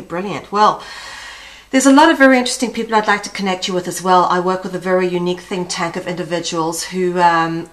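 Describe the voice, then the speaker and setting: A woman from South Africa with a South African accent, conducting a conversational interview in an effusive, slightly halting way. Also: lowish voice, fairly bright tone.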